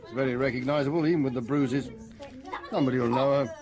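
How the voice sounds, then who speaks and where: A man's voice: mid-range voice, steady tone, quick pace, a crowd in the background, close to an everyday voice. Dour, jaded police inspector played by a man. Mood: glum, matter-of-fact. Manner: terse, morose. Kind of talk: radio crime drama acting.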